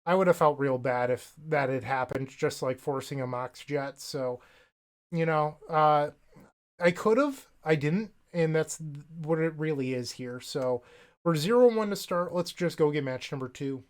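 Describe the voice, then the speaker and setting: A man's voice: fairly high pitch, unhurried. A young man with a slight cold, casually commenting on his own card game play, thinking aloud with wry asides, recorded at a home desk setup. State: sniffly from a cold. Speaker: gaming content creator.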